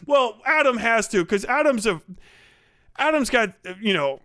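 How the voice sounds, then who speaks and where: Male streamer, high clear voice, sweary off-the-cuff rambling, very dry close sound. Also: lively intonation, moderate pace, no echo. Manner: sweary, rambling, thinks out loud. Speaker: live streamer reacting to videos. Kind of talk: profane casual livestream commentary.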